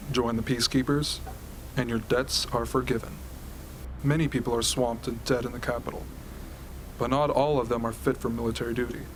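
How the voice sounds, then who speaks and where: A man's voice: mid-range voice, natural voice, rough recording. A man playing a serious military briefer, explaining things in an amateur radio drama. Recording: hobby recording with ambient noise.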